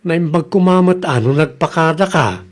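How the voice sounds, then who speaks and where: A man's voice: fairly high pitch, normal speed, close to an everyday voice. A man acting as a plain-spoken Ilocano father in a radio drama. He questions and grumbles like a concerned head of the household, ready to scold.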